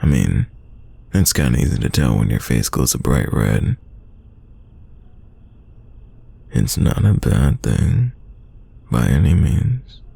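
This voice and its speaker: Man acting a very deep, gravelly teasing crush. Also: wildly swooping pitch, a little slow, heavily acted. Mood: teasing, fond. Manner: playful, teasing, soft close delivery. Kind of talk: romantic roleplay audio, intimate one-sided dialogue.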